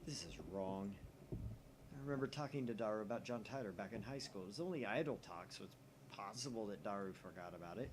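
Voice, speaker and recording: A man's voice: lowish voice, lively intonation, a little fast, background noise. A man hosting a let's play, reading a visual novel's narration aloud with casual, joking commentary.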